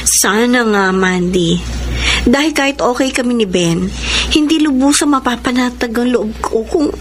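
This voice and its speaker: A woman's voice: a little high, animated, normal speed, a little hiss or hum, close to an everyday voice. An actress playing a young woman fretting over her parents in a radio drama, pleading and anxious in tone. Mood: worried. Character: Ana, a young woman worried about parents. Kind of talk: radio drama acting and letter narration. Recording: radio drama with music bed.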